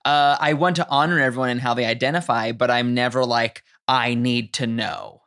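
Woman co-host, very low pitch, chatty and meandering, a little tipsy. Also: steady tone, a bit bright. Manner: chatty, thinks aloud with restarts, quick bursts. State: tipsy from drinking. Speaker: podcast co-host. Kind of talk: casual chat.

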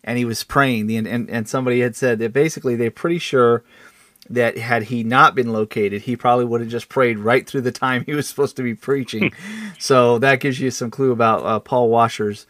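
A man co-hosting a podcast, chatting and telling stories in a rambling, halting way, often doubling back and chuckling as he talks. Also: mid-range voice, steady tone, a bit bright, no room sound. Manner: rambling, halting, self-interrupting, chuckles while talking. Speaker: podcast co-host. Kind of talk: casual chat and storytelling.

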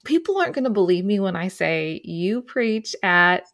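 A woman's voice: mid-range voice, sing-song, moderate pace, a bit bright. A woman hosting a podcast interview, asking thoughtful questions and affirming her guest often. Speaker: podcast host.

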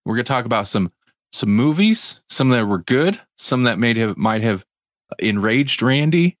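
A man's voice: medium pitch, expressive, normal speed, dry recording, clean studio sound. A man hosting a podcast, chatting loosely with friends and laughing often. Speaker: podcast host. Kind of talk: casual chat.

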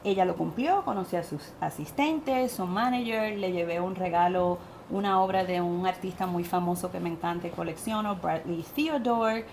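A woman with a Puerto Rican accent, a guest medium telling her story in an animated interview. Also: lowish voice, moderate pace, hardly any pauses, slight background noise. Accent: Puerto Rican Spanish. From Puerto Rico.

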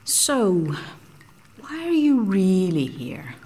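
An anxious female prisoner in an audio drama who sees through lies. She speaks at a middle pitch, bluntly and curtly, with a deadpan, unsparing delivery. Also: drawn-out words, breathy edge, full character voice. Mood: coolly probing.

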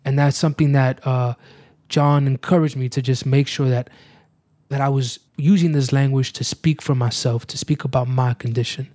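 A man's voice: mid-range voice, fairly even intonation, normal speed, dark tone, dry recording. A male actor with a mid-range voice in a close, dry recording. He talks thoughtfully and with measure, restating phrases to press his point. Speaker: actor, interview guest. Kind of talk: thoughtful interview talk, quoting verse.

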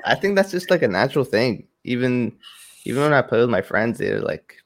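A man from Iraq who moved to Canada, chatting casually as an interview guest, relaxed and colloquial with many filler phrases. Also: mid-range voice, fairly quick, gravelly. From Iraq, moved to Canada in 2003. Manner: relaxed, colloquial, frequent 'like' and 'you know'. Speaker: esports pro, interview guest.

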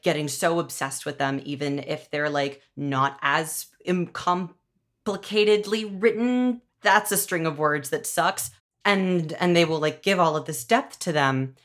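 A woman's voice: sits low, fairly bright tone. A woman talking casually and analytically about storytelling, informal and wry, peppering her speech with "like". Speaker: podcast interviewer and showrunner.

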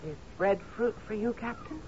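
An actress in a radio drama playing a cheerful, bossy woman in her twenties keeping house on an island, brisk and coaxing. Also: mid-range voice, close to an everyday voice. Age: 20s. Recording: dull, noisy 1970s radio recording.

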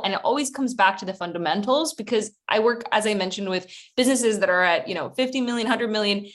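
A woman from Ukraine, a recruitment consultant, giving a conversational presentation over a video call, chatty with small laughs and quick restarts. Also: medium pitch, a bit bright.

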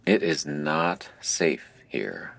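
A man playing a mysterious, impassive game operator who narrates a surreal audio drama in a formal, deadpan manner. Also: very deep voice, drawn-out words, gravelly, dry recording, exaggerated voice.

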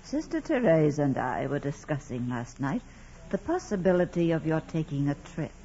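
A woman's voice: deep voice, big pitch swings, moderate pace, dark tone. An actress playing a dignified, concerned Mother Superior, formal and urging, in a 1940s radio drama. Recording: muffled, noisy vintage radio recording.

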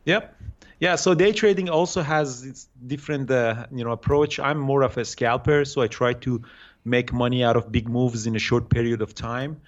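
A man in his thirties from Iran with an Iranian accent, answering interview questions haltingly with many fillers and restarts. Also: mid-range voice, steady tone, muffled recording. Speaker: guest day trader.

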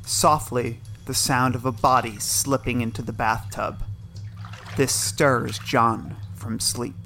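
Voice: mid-range voice, steady tone, slow pace, sharp tone, a little hiss or hum. A narrator reading the stage directions of a suspense teleplay as scripted narration.

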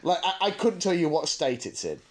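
A man's voice: a little high, very animated, brisk, crowd noise. A man co-hosting a podcast in casual banter, with a wry, mock-serious way of talking. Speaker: podcast co-host.

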